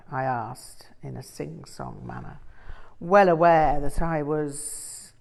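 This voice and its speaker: A woman narrating a scripted first-person audiobook in a measured, deliberate way. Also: low-pitched.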